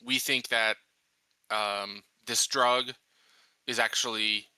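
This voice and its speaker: A man talking casually as a player in a tabletop roleplaying game, thinking aloud in a halting, hesitant way. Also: on the low side, fairly even intonation, bright, forceful tone, clear, resonant tone, no echo.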